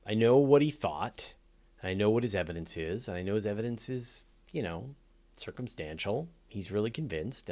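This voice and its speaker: Low-voiced man chatting on a livestream. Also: darkish tone. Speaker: host of a live space Q&A. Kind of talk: casual unscripted livestream chat.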